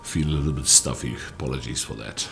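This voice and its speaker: A man from with a stuffy cold voice, giving relaxed gameplay walkthrough commentary. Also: extremely low pitch, fast, vocal fry, faint music behind. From South Africa. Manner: relaxed, explanatory, with frequent "uh" fillers. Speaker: gaming channel host.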